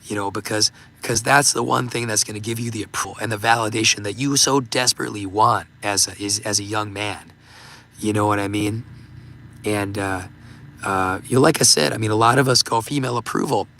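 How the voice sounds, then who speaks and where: Male livestream host, hoarse and gravelly with a bright edge; rambling, halting delivery full of restarts. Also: fairly low pitch, steady tone. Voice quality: gravelly, voice giving out. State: hoarse, losing his voice. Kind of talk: casual livestream talk reading super chats.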